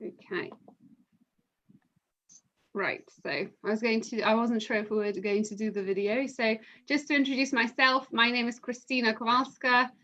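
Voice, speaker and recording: A woman from Poland with a fairly high voice talks in a calm, measured way, pausing often on fillers. She is on a video call from home. The sound is muffled and lo-fi, like compressed online call audio. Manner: measured, hesitant with many fillers. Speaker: university international recruitment manager. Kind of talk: live online admissions session.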